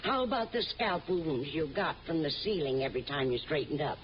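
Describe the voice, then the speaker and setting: A woman's voice: sits low, very animated, a little fast. An actress playing a scatterbrained, kindly older woman, earnest and fussy, in an old-time radio comedy. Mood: sheepish. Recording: muffled old radio broadcast, faint noise.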